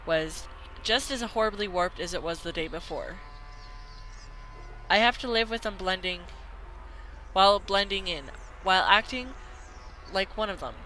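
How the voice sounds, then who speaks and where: A woman reads visual novel text aloud as a gaming video host. Her voice is bright and mid-pitched, and she reads haltingly, tripping on words and correcting herself. The amateur recording carries faint background noise. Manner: halting read-aloud with stumbles and self-corrections.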